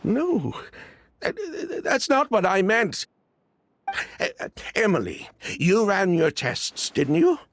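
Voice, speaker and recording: A man's voice: high voice, huge pitch swings, takes it slowly, full character voice. An actor playing a frightened young nobleman who talks in nervous, stammering bursts. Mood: panicky. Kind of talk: game voice acting.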